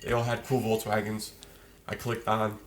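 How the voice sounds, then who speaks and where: A man's voice: lowish voice, completely flat delivery, normal speed, over a noisy crowd. A man in his twenties from Poland, a podcast guest telling his life story in a loose, slangy way. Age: 20s. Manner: slangy, loose storyteller, fillers like "you know". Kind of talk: casual chat, storytelling.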